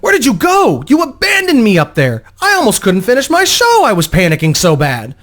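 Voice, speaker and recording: A fragile, panicky young woman of the stage, put on by a male reader, pitched high and fretful. She sounds distraught, as a character in a fantasy audiobook. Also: huge pitch swings, fairly quick, fairly bright tone, full character voice. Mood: panicked. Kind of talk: dramatic fantasy story reading.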